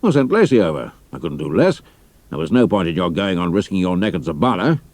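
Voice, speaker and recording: An actor playing a senior British army intelligence officer, measured and dry, with a British accent, in an audio drama. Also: male voice, sits low, very animated, a little mellow, dry recording. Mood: measured, matter-of-fact.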